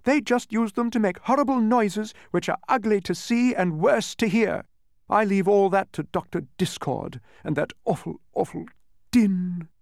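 A man's voice: a little high, sing-song, moderate pace, a little mellow, no echo, studio quality, voice pushed up. A man voicing a stubborn, bossy, proud woman who keeps sounds in a storybook, speaking in an imperious, instructive way. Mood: indignant, commanding. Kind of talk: storybook character voice.

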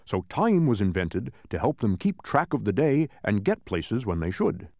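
A man's voice: on the low side, big pitch swings, moderate pace, warm tone, dry recording. A man voicing an earnest, proud storybook watchdog, sentimental and given to dramatic, lofty speeches. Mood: explaining, earnest. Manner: declamatory, dramatic. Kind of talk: children's storybook character voice.

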